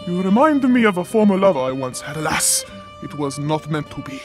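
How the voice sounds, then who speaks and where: A man's voice: fairly high pitch, expressive, fairly quick, a little mellow, natural voice. A man playing a suave, flirtatious intelligence agent in an audio comedy, theatrically wistful. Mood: wistful, melodramatic. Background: guitar and string music.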